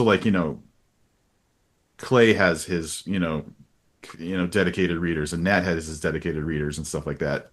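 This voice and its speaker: A man hosting a casual book-talk interview, speaking in an earnest, rambling and emphatic way. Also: low-pitched. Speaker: podcast host interviewing a publisher.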